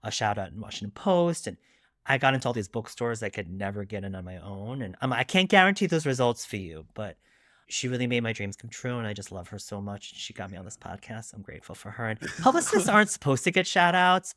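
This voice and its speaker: A man's voice: on the low side, very animated, fairly quick, bright, forceful tone, no echo, clean studio sound. A middle-aged man from Long Island, New York, speaking candidly and reflectively as a guest in an interview, often adding asides. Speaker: guest author.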